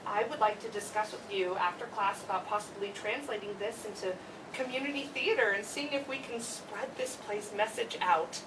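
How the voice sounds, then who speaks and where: A woman playing an effusive, gushing schoolteacher with emphatic, deliberate phrasing in an amateur stage play reading. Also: medium pitch, natural voice. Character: effusive, gushing schoolteacher praising her students. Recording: distant mic, clearly noisy live room.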